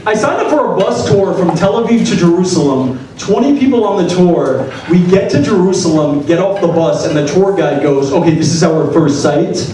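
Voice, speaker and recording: High-voiced young man doing stand-up. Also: moderate pace, hardly any pauses, a little hiss or hum. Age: 20s. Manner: punchy, profane, deadpan punchlines. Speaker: stand-up comedian. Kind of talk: stand-up set. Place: comedy club stage. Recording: echoey club sound from a distance. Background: audience laughter.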